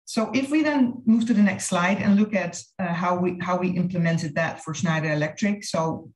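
A woman's voice: lowish voice, normal speed, darkish tone. A woman giving a businesslike, explanatory slide presentation over a video call. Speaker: marketing consultant presenting a case study. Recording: roomy, echoey video-call audio.

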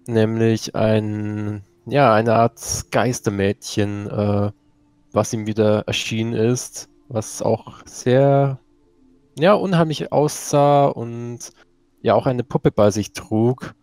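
A man playing a tabletop game, casually recapping events in a halting way, searching for his words. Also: fairly low pitch, a little mellow, dry recording. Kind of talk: casual spoken session recap.

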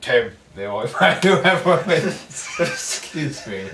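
Young male voice actor, unhurried, swooping pitch, joking session banter, slightly distant in a roomy space. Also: creaky. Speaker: audio drama voice actor and reader. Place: small room in a home. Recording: roomy, off-mic, faint noise and clicks.

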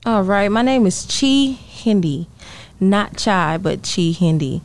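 A young woman answers in a casual interview style. Her voice sits at a medium pitch, recorded close on a podcast mic. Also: lively intonation, takes it slowly. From Marshall, Texas, USA. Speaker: R&B singer, interview guest. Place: small indoor podcast studio. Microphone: close podcast mic on stand.

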